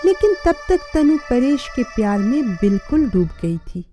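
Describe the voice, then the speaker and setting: A woman's voice: a little high, sing-song, normal speed, dark tone, faint music behind. A woman narrating a scripted moral story.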